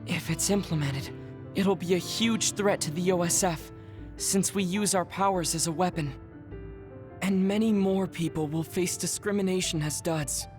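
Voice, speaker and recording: Guy doing a quiet formal young soldier, careful and worried, game cutscene with music under it. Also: sits high, normal speed, natural voice. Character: reserved young male soldier hiding a secret. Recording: game audio with music behind.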